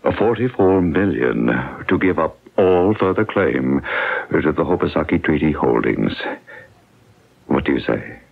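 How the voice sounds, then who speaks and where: A cagey president in a radio play, really low husky voice, hems and haws, old narrow radio sound. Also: male voice, lively intonation, unhurried, full character voice. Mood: bargaining. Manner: hesitant, hemming official talk. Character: hesitant, cagey older male US President making a deal. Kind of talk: radio drama acting. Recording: muffled, narrow-band old radio broadcast.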